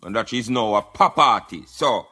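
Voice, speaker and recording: A man's voice: on the low side, expressive, moderate pace, bright, forceful tone, husky. A man with a Jamaican accent hosting a radio show, chatting casually to listeners in patois with frequent affirming interjections. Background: music.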